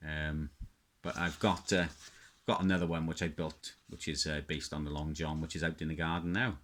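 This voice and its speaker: A man's voice: extremely low pitch, little pitch movement, normal speed, a bit bright. A middle-aged man from Wales with a South Welsh accent, talking off the cuff in a hesitant, rambling, reminiscing way as he shows his hobby. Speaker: radio hobbyist presenter. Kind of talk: casual unscripted show-and-tell.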